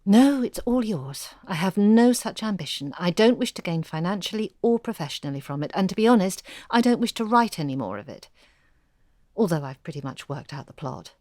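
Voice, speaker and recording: A modest woman writer in an audio drama, with a fairly low voice that hedges and holds back. The recording is close and dry. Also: big pitch swings, brisk, darkish tone, dry recording. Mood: firm. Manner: diffident and hedging. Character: modest, hesitant woman ghostwriter. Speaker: writer character.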